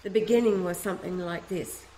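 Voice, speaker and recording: A woman's voice: lowish voice, a little fast, dark tone. Woman reading a letter, echoey room. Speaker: reader of a letter. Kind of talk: first-person letter read aloud. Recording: echoey room, faint ambience.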